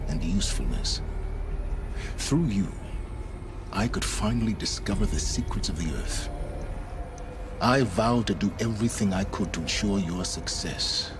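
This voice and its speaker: An actor playing a cold, arrogant male scholar and fugitive schemer in a video game, speaking in a measured, aloof and precise way. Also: deep voice. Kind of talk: video game cutscene acting. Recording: music under the voice.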